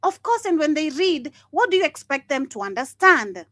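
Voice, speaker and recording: A woman's voice: sits high, big pitch swings, normal speed, a bit bright, dry recording. A woman hosting a casual commentary broadcast, scornful and emphatic, laughing now and then. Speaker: host.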